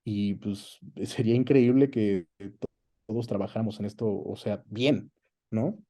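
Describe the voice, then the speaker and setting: A man with a Mexican accent chatting casually as an interview guest, telling anecdotes in an expressive, storytelling way. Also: lowish voice, a little slow. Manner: animated anecdote-telling, acts out quoted dialogue. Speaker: guest, voice actor and dubbing director.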